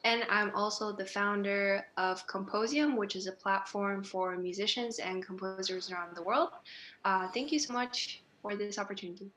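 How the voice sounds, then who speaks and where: A woman's voice: medium pitch, normal speed, fairly bright tone, slight background noise. A young woman from Kazakhstan, a composer, introduces herself fluently on a video call. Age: young adult. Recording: echoey room.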